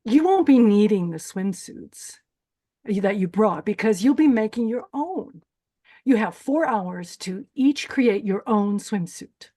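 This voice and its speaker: A woman's voice: medium pitch, lively intonation, slow, mellow. A woman playing a stern, callous older beauty queen who hosts a pageant in a screenplay table read, commanding and cold in manner. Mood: stern, menacing. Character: stern, callous 64-year-old female pageant host, former beauty queen.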